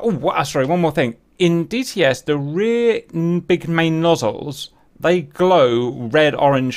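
Curious man hosting a casual interview. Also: on the high side. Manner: curious, many quiet "mm-hmm" backchannels. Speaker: interview host.

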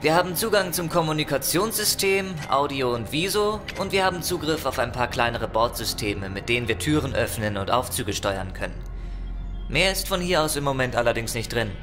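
A man's voice: mid-range voice, animated, brisk, music in the background, lightly acted. Easygoing male spaceship tech played by a man. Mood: confident concentration. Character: easygoing male ship's computer technician and hacker. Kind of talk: audio drama acting in a sci-fi thriller.

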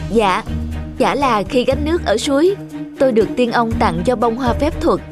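Woman as humble young servant woman, high plaintive voice, kind, cartoon fairy tale, music underneath. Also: lively intonation, moderate pace, close to an everyday voice. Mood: humble, polite.

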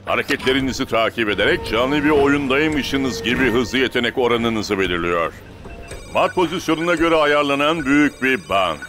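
An actor playing a serious, authoritative football coach in a cartoon, giving firm and deliberate instructions. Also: male voice, medium pitch, expressive, over music, natural voice. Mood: firm, instructive. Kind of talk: dubbed children's cartoon dialogue.

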